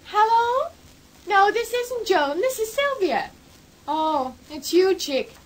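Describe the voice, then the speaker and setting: A pert sixteen-year-old girl in a vintage radio serial. Her voice is extremely high and lively, with an American accent and an impatient edge. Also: slow pace, exaggerated voice. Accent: put-on American. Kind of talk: 1940s radio soap acting.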